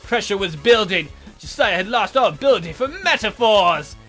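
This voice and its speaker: High male voice, bright, let's play host, storybook narration, irreverent asides, faint music behind. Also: lively intonation, normal speed. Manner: irreverent, amused, breaks off to comment. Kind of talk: reading visual novel aloud with joking asides.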